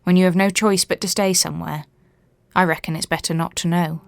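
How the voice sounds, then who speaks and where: A woman's voice: fairly low pitch, steady tone, brisk, gravelly. A woman narrating a literary ghost story as a scripted reading.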